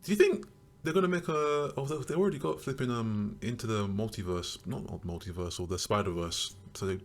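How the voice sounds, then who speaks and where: A young man co-hosting a casual podcast, excitable and loud in his reactions, swearing often. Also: on the low side, a little fast. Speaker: podcast co-host. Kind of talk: casual chat.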